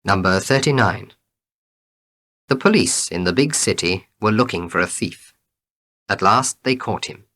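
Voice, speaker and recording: A man narrates a short tale from a script in an orderly way. His voice is fairly low in pitch, with a bright, forward tone. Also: animated, measured pace, long pauses. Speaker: storybook reader.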